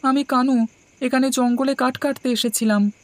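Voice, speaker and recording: A woman's voice: high-pitched, fairly even intonation, normal speed, no echo, close to an everyday voice. A woman voicing a meek, hardworking young brother in a cartoon, speaking politely and submissively. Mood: worried. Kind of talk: cartoon dubbing.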